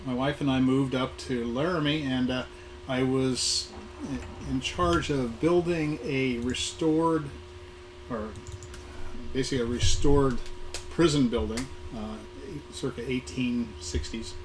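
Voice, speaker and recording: A middle-aged or older American man from Southern California with a hoarse voice, giving an informal talk about his career in a halting way, repeating words and hesitating often. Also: medium pitch, fairly even intonation. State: hoarse, getting over an illness. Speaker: guest speaker, museum programming manager. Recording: noisy live recording with room ambience.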